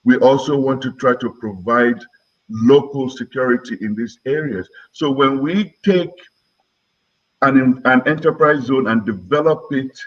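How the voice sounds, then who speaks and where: A man in his sixties with a Nigerian accent, from Lagos, giving measured answers as an interview guest on a muffled recording. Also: mid-range voice, fairly bright tone. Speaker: interview guest, politician and broadcaster.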